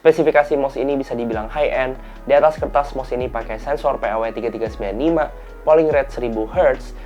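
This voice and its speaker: A young man talks through a product review in a relaxed, slangy way. His nose is clearly blocked, so the voice sounds stuffy and a little worn out. Light music plays behind him. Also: medium pitch, quick pace, lo-fi sound. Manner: relaxed, chatty, slang-heavy delivery. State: tired and run-down. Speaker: tech reviewer hosting his channel.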